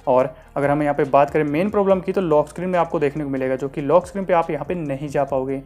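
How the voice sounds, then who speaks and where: An Indian man narrating a phone software review as a tech reviewer, with repetitive explanatory patter. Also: fairly high pitch, fast. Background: light background music.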